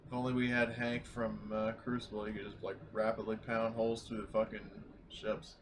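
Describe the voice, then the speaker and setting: A man's voice: lowish voice, little pitch movement, normal speed, noisy background. A man chatting casually and profanely as a player in a tabletop game. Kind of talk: casual chat.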